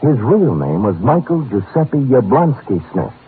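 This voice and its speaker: Tinny old-radio wry private detective. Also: male voice, medium pitch, big pitch swings, measured pace. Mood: wry, teasing. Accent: American. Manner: wry, bantering. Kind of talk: 1950s radio detective drama acting. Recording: old radio broadcast, tinny, faint noise.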